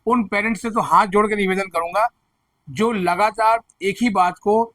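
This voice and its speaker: A man with a hoarse throat presenting education news, explaining admission figures and earnestly reassuring students and parents. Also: high-pitched, steady tone, normal speed, fairly bright tone, no room sound. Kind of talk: direct-to-camera advisory commentary.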